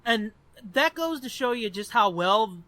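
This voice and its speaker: A man's voice: high voice, expressive, measured pace, sharp tone, no room sound. A man in his twenties telling a story on a podcast in a rambling, casual way, swearing often. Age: 20s. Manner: profane and rambling. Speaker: podcast co-host. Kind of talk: casual chat.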